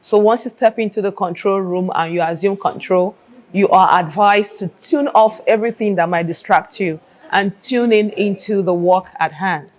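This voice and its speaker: A woman with a Nigerian accent gives an explanatory interview answer. Her voice sits at a medium pitch. She leans on key phrases by saying them again, as an air traffic controller describing her work. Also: moderate pace, a little mellow.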